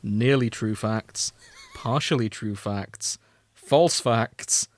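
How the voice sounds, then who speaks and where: A man's voice: on the low side, slow pace. A British man chatting casually as a podcast co-host.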